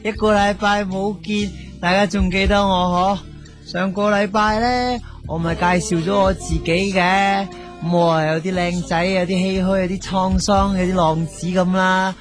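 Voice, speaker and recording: A man's voice: sits high, fairly even intonation, normal speed, soft background music. A man with a Hong Kong Cantonese accent narrating a comic radio drama in a jokey, chatty way. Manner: jokey, chatty, stammering gags. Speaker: radio host and storyteller.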